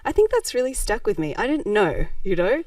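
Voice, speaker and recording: A woman's voice: medium pitch, huge pitch swings, fairly quick, darkish tone, no room sound. A Japanese-born woman, reflecting thoughtfully as a podcast guest and laughing often. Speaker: guest, social enterprise founder. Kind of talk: conversational podcast interview. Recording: dry, slightly distant mic.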